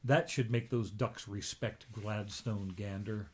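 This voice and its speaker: A man's voice: on the low side, steady tone, slow pace, darkish tone, slight background noise, pitched below the performer's own voice. A man voicing a smug, scheming, self-satisfied adult gander while reading a comic book aloud.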